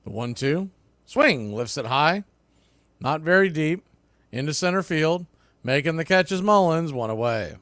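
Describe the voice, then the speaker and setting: Higher-voiced man calling baseball, dry sound. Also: lively intonation, a little slow, a bit bright, dry recording. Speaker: baseball play-by-play announcer. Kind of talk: live radio sports commentary.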